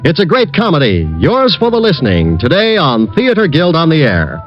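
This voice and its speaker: A male radio announcer reading the closing credits and promotions in a smooth, formal announcer style. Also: a little high, huge pitch swings, moderate pace, faint music behind. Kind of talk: scripted announcement. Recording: muffled vintage radio broadcast.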